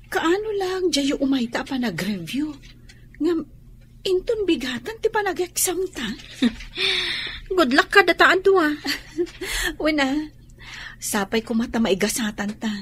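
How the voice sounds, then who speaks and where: An excitable, teasing young student in an Ilocano radio drama. Her voice sits high and swings freely in pitch with an Ilocano accent. There is faint noise in the recording. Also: slow, long pauses, full character voice. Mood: hopeful, earnest.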